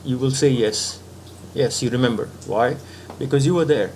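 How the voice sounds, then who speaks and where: A man's voice: mid-range voice, normal speed, darkish tone, slight background noise. A man giving a patient philosophical talk, reasoning step by step and often checking in with the listener. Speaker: spiritual teacher.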